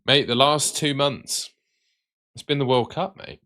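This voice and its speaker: A man hosting a live stream with casual, matey chat that can turn excitable and sing-song. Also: mid-range voice, measured pace. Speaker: livestream host.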